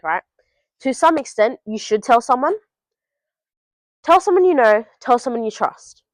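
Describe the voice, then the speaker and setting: A woman's voice: on the high side, no echo, poor audio. A teenage woman, giving blunt, sweary advice straight to camera in a casual vlog recorded at home. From Australia. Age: teens. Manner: blunt, swears freely, talks straight to the viewer. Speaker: vlogger.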